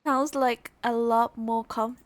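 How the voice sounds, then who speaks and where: Slow, high-voiced woman reacting to a game. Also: steady tone. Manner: soft interjections, drawn-out exclamations. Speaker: gameplay streamer. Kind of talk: reaction commentary over game dialogue.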